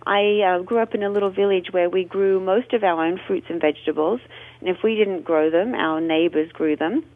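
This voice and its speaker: A woman's voice: on the low side, moderate pace, no echo. A woman, a guest in a radio interview, telling her story in a chatty, expansive way. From Australia. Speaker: radio guest, food blogger. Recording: tinny phone line.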